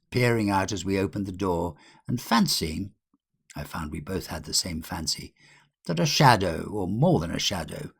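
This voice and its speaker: Deep, gravelly male storyteller. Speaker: audiobook narrator. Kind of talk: scripted literary narration of a ghost story.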